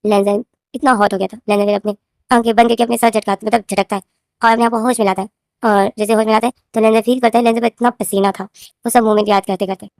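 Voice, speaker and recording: Fast-talking woman with a sore throat. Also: on the high side, little pitch movement, a little mellow, no room sound, studio quality. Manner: rambling, repeats names and phrases, addresses viewers as guys. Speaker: fanfiction author and narrator. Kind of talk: casual spoken retelling of her own story.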